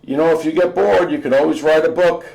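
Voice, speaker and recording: A man's voice: a little high, fairly even intonation, quick pace, vocal fry, a little mellow, voice pushed up, low-quality recording. A man voicing a curious, eager young teenage son in a storybook, with a questioning, pleading manner.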